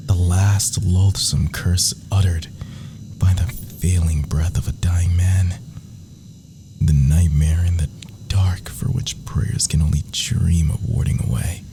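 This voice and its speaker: A man performing whispered ASMR roleplay as an adoring, menacing villain lover, theatrical and florid, intimate in delivery. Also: sits low.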